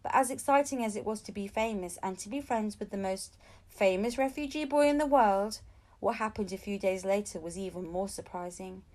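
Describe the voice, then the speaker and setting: A woman's voice: mid-range voice, a little fast. A woman reading a children's storybook aloud, narrating expressively as an audiobook reader. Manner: expressive storytelling with acted-out dialogue.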